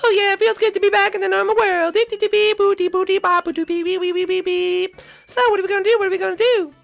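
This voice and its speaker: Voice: very high voice, flat delivery, fast, creaky, soft background music, voice pushed up. A performer voicing a confused, whiny male dinosaur who stammers and spooks easily, in a homemade toy show. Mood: squeaky, indignant. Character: confused male dinosaur brainwashed into thinking he's evil.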